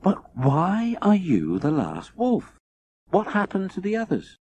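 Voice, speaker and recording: A brave little storybook girl, as a male reader puts her on, polite, curious and frank. The lines are very animated in pitch, over faint noise from an old audiobook recording. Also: slow pace, heavily acted. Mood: curious, concerned. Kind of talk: children's audiobook character acting.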